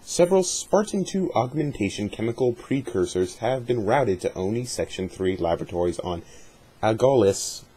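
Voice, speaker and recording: A man giving an amateur dramatic reading of a journal in a measured, deliberate way, with a husky voice. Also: on the low side, animated, dark tone, rough recording. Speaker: amateur reader hosting a dramatic reading series.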